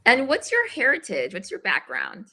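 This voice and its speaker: A woman's voice: fairly high pitch, huge pitch swings, normal speed, bright, forceful tone, husky. A woman hosting an interview, reading an upbeat promotional intro and then asking questions. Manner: upbeat, promotional and scripted at the open. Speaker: interview host.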